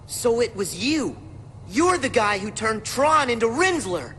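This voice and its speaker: A man voicing an earnest, excitable teenage boy hero in a video game cutscene, speaking emphatically. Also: very high voice, a little slow, a little hiss or hum, heavily acted. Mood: defiant. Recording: loud music behind the voice. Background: loud game music.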